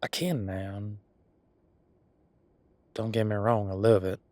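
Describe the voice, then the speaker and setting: A werewolf bodyguard as a man acts him out for an intimate roleplay audio. He speaks slowly in a low voice, easygoing and affectionate, with halting, folksy phrasing. The sound is dry and free of room. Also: level tone, darkish tone, dry recording. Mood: tender, reassuring. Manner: casual, folksy, halting, teasing.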